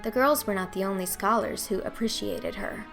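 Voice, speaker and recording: A woman's voice: medium pitch, moderate pace, gravelly, fairly bright tone, faint music behind. A woman narrator reading a classic story aloud in a scripted audiobook style.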